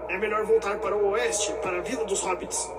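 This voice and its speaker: A man playing a wary, gruff countryman in a dramatised audiobook. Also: high voice, fairly even intonation, brisk, lightly acted. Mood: wary, defiant. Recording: loud music over voice.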